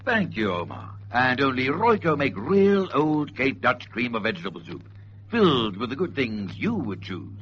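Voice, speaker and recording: A man's voice: medium pitch, very animated, normal speed, fairly bright tone. A man reading a vintage radio commercial with a polished, emphatic sales delivery. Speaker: commercial announcer. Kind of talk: vintage radio advertising read. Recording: muffled old radio recording with hum.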